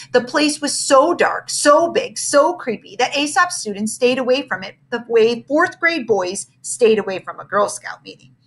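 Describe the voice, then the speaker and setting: A woman reading a children's book aloud as expressive scripted narration. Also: on the high side, rough recording.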